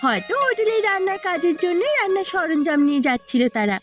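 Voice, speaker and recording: A woman voicing a village wife in a cartoon, animated and expressive. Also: extremely high pitch, quick pace, over music. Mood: earnest, gossiping. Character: village women, a peasant's wife and her elderly mother-in-law. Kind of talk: Bengali cartoon voice acting.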